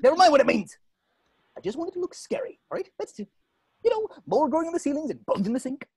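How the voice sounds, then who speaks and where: An actor voicing a gruff, bossy male ghost pirate captain with a raspy, blustering delivery, in a cartoon script reading. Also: high voice, very animated, quick pace, a little mellow, no echo, studio quality. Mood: commanding. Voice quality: raspy, gravelly. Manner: theatrical, blustering, swooping.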